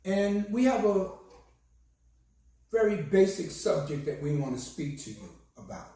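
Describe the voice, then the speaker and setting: A hoarse man, a minister, giving a slow and solemn sermon with a recited prayer. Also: sits high, sing-song, warm tone. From United States. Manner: slow, measured, solemn delivery. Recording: very echoey, distant from the mic.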